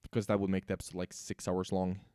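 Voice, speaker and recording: A man with a raspy, sore voice, talking himself through building machines while streaming a game. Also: sits low, completely flat delivery, fast talker, mellow, dry recording, studio quality. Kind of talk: casual gameplay commentary.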